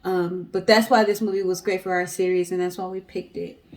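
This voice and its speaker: A woman's voice: fairly low pitch, level tone, fairly quick, a little mellow, slight background noise. American woman hosting a casual storytime show. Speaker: co-host of a storytelling guessing show. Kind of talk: casual storytelling and commentary to viewers.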